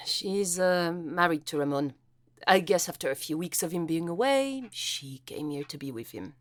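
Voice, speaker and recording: A woman's voice: fairly low pitch, lively intonation, unhurried, a bit bright, dry recording. An actress playing a composed, matter-of-fact woman scientist. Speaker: acoustic physicist. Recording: dry close studio sound.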